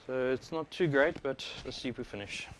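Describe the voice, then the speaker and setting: A South African man hosts a live stream in a casual, explanatory way. His voice sits at an average male pitch. He talks informally into a worn wireless mic, with some ambient noise behind him. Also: fairly quick, low-quality recording. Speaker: livestream host, overclocker. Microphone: wireless worn microphone. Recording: clear steady background noise.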